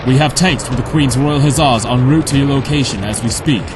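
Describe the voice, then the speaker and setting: A man playing a formal government military aide, giving crisp official reports in an audio drama. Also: mid-range voice, a little fast, music in the background, natural voice. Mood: brisk, official.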